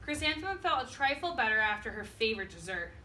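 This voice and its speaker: A woman's voice: a little high, big pitch swings, fast, bright, forceful tone, far from the mic, a little hiss or hum. A woman teacher reading a children's picture book aloud to her class, lifting her voice for the characters' lines.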